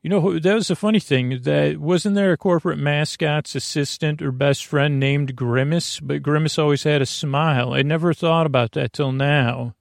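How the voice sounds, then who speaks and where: Creaky-voiced man rambling softly to listeners. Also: fairly high pitch, fairly even intonation, no room sound, studio quality. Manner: meandering, hesitant, halting and repeating. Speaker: podcast host. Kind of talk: rambling bedtime monologue.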